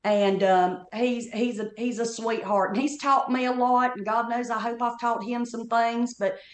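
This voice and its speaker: Chatty Southern woman comedian in her fifties, Appalachian accent, slightly high voice, roomy sound. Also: steady tone, moderate pace. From North Carolina Appalachians. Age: 50s. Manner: chatty, perky storyteller. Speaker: comedian guest. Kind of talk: casual podcast chat.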